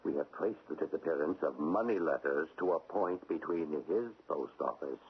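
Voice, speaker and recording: A man playing a stern, formal government agent in an Old West radio drama, speaking in measured official phrases. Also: rumbling low. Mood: grave, official. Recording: narrow-band, noisy old radio broadcast.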